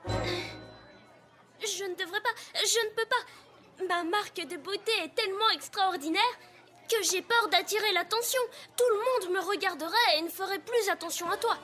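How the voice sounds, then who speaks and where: A woman voicing an earnest, eager young filly pony in a French cartoon dub, with an expressive girlish delivery. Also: extremely high pitch, normal speed, faint music behind, a faint crowd. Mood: flustered bluffing.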